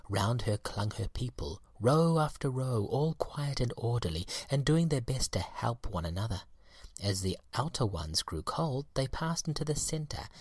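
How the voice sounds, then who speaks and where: Male reader for children's story, husky and breathy, measured expressive narration, close dry recording. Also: on the low side, no echo.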